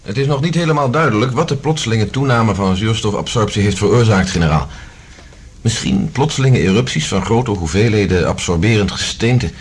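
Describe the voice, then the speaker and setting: A man's voice: fairly low pitch, fairly quick, breathy edge. A man acting a sober, cautious professor giving formal reports in a science-fiction radio drama.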